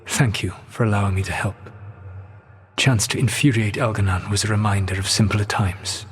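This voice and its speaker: A man playing an ancient elven trickster god for a video game, proud, brooding and regretful, with measured, formal, eloquent diction and a husky voice. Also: fairly low pitch, fairly even intonation. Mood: grave, reflective. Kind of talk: video game dialogue acting. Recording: long hall-like reverb.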